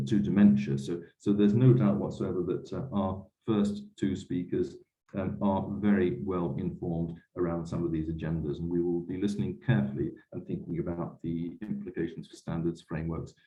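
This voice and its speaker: A man moderating a webinar, speaking in a measured, formal and somewhat hesitant way. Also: low-pitched, warm tone. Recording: echoey room, slightly dull sound.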